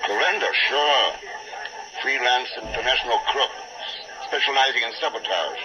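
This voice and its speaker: A man plays a cool, reliable secret agent in a vintage radio play. His voice is mid-pitched and businesslike, composed throughout, with loud noise all over the old recording. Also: moderate pace, lo-fi sound, natural voice. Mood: calm. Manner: composed, matter-of-fact. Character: cool, dependable male secret agent hero. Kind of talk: 1940s radio drama acting.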